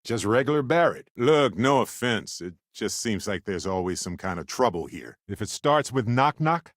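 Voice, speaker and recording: An actor playing an easygoing, good-hearted male space explorer in a video game, with a wry, chatty and playfully expressive delivery. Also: lowish voice, normal speed, dry recording, clean studio sound, natural voice. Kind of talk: video game character dialogue.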